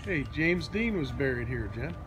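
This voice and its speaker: A man's voice: on the high side, lively intonation, normal speed, loud music behind the voice. A man filming a casual travel vlog outdoors, chatting and explaining sights to his companion. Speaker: vlogger filming behind the camera. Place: outdoors in a busy market and park. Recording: loud outdoor background noise.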